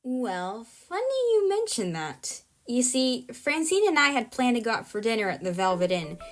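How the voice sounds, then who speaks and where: A woman's voice: on the high side, sing-song, moderate pace, pitched above the performer's own voice. A woman voicing a perky, smug, encouraging matchmaker in an audio drama, coaxing and breezy. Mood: scheming, pleased.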